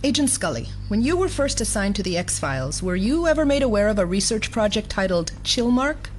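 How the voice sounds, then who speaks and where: An actress playing an exasperated, authoritative federal assistant director in an audio drama, clipped, commanding and drily sardonic. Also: female voice, mid-range voice, very animated, fairly quick, a little hiss or hum, natural voice. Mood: stern, sardonic. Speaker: senior FBI official.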